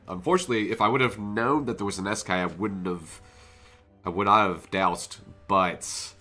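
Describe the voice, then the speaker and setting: A man's voice: deep voice, sharp tone. Congested man narrating gameplay. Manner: chatty, thinks aloud while playing. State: congested from a cold. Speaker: gaming channel host. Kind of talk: casual gameplay commentary. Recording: faint music bed underneath.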